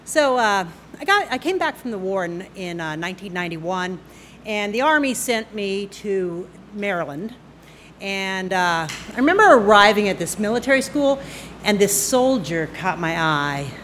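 A woman in her sixties tells a personal story at a live storytelling night, speaking into a stage microphone. Her telling is unscripted and halting, full of small pauses and fillers, and she laughs easily. Her voice sits at a middle pitch with a faint hiss of noise behind it. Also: expressive, a bit bright. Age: 60s.

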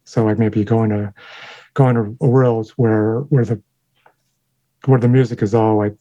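A man, a sound designer whose first language is Chinese, answering interview questions in a thoughtful, halting way with many fillers and restarts. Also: lowish voice, steady tone, dark tone, dry recording, lo-fi sound. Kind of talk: interview conversation.

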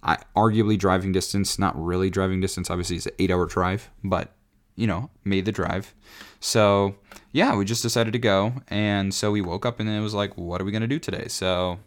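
Raspy-voiced young man rambling on his podcast. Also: low voice, fairly even intonation, a little fast. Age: 20s. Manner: rambling, self-correcting, frequent fillers. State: raspy from singing. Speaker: podcast host. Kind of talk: rambling solo podcast chat.

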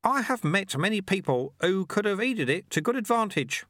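A man's voice: big pitch swings, moderate pace, no room sound, studio quality. A man doing a sly, twinkling little old sweeper. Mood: amused kindly. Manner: genial, gently teasing, high and bright.

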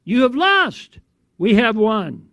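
A man's voice: sits high, sing-song, slow pace, a bit bright, no room sound, clean studio sound, pitched above the performer's own voice, exaggerated voice. A man voicing a triumphant divine lion in a sermon, proclaiming victory in a chant.

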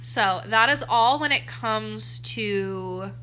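A woman's voice: a little high, takes it slowly, sharp tone, a little hiss or hum. A woman in her early twenties co-hosting a casual podcast, rambling and correcting herself as she chats. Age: 20s. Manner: rambling, self-correcting, laughs often. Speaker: podcast co-host. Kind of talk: casual chat. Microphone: too close to the mic.

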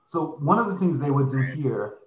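A man explains things in an easygoing, conversational way, sprinkling his speech with "like" and "right?". His voice sits at a middling pitch. The recording carries a noticeable room echo. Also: normal speed. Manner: relaxed, explanatory, slips in "like" and "right?". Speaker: podcast guest living abroad. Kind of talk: casual chat. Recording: echoey room.

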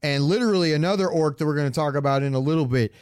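A man's voice: on the high side, very fast, a little mellow, clear, resonant tone. A man co-hosting a casual podcast, debating game lore in an argumentative, insistent way and often repeating himself. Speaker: podcast co-host.

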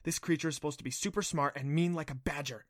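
A man's voice: fairly high pitch, steady tone, fast, no echo, clean studio sound, natural voice. A man voicing a level-headed but easily alarmed girl adventurer in a fantasy audiobook, urgent and intense. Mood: hissed, urgent. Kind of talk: audiobook character voice for a fantasy story.

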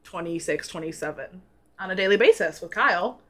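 Relaxed young woman podcaster. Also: on the low side, brisk. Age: 20s. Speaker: podcast co-host. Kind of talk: casual chat.

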